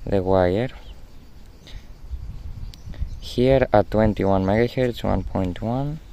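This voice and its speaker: A young man giving a hands-on tutorial in a halting, hesitant way, pausing often as he explains. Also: fairly low pitch, gravelly, mellow. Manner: halting, hesitant delivery with pauses. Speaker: amateur radio hobbyist presenter. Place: outdoors in a rural garden. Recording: outdoor phone recording with faint noise.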